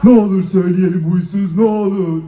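A man's voice: high-pitched, steady tone, a little fast, lightly acted. A man playing a cheerful, hungry comic friend in a children's stage musical, coaxing and chatty. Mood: cheerful, pleading. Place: children's show stage in a shopping mall. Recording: roomy stage sound, loud guitar music behind.

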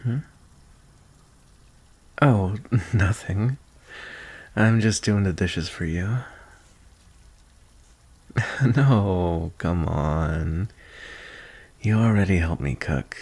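A young man playing a shy, adoring best friend in an intimate boyfriend ASMR roleplay, speaking softly and hesitantly. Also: sits low, animated, very slow, long pauses, heavily acted. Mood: caring, surprised. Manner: hesitant, soft-spoken, breathy sighs and nervous chuckles. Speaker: audio roleplay performer.

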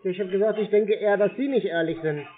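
A man with a fairly high voice spars over a thin phone line. His replies are short, dry and contrary, with a teasing edge as he refuses to play along. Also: fast. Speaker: scam-baiter taking a sales call. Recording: thin narrow-band phone call sound.

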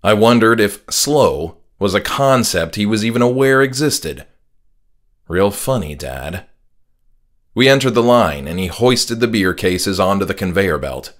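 A man's voice: on the low side, animated, unhurried, a bit bright. A man reading his own horror story aloud as a scripted first-person audiobook narration.

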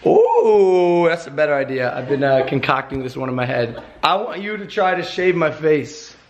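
An American man in his early twenties chatting casually to a handheld camera in an animated, slangy, exclamatory way. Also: fairly high pitch, moderate pace, highs cut off, slight background noise. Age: early 20s. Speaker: vlogger. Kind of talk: casual vlog chat. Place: indoors, apartment and gym. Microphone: handheld camera at arm's length.

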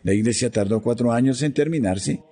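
A man reading a devotional text in a slow, solemn, measured way, pausing between phrases. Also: medium pitch, breathy edge. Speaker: devotional reader. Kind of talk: slow scripted devotional narration. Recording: soft music underneath.

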